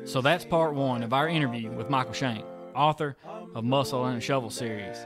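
A man speaks as a podcast host wrapping up a show. His pitch is medium, and faint music plays underneath. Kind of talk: outro narration.

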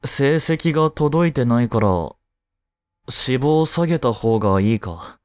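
Caring high-school boy next door , male actor, romantic audio drama; casual, reassuring, slow delivery, dry sound. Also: expressive, a little mellow, clear, resonant tone, no room sound, lightly acted. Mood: concerned, supportive. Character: dense, easygoing teenage boy, caring childhood friend. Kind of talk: Japanese romantic audio drama addressed to the listener.

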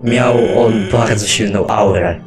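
A man voicing an excitable, friendly skeleton artist who tells a long tale expressively in an animated fan dub. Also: lowish voice, measured pace, natural voice. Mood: earnest storytelling. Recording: background music under the voice.

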